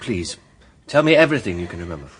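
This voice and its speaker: An actor playing a suave, sly British defence barrister, self-assured and insistent, in a courtroom radio drama. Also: male voice, low-pitched, big pitch swings, fast, breathy edge, full character voice. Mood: probing, insistent.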